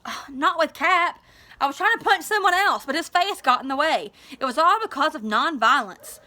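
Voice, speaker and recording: A woman's voice: high voice, normal speed, pushed and bright, pitched above the performer's own voice. A woman voicing a hot-headed, guilt-ridden eighth-grade football player in an impassioned storybook read-aloud. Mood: flustered. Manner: emphatic, impassioned.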